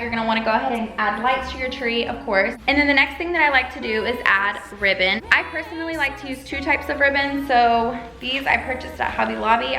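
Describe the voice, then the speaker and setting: A woman's voice: a little high, sharp tone. A woman vlogger chatting upbeat through a decorating tutorial at home. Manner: upbeat, chatty, lots of "go ahead" and "you guys". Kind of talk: casual tutorial chat. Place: room in her home while decorating. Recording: echoey room, faint music behind.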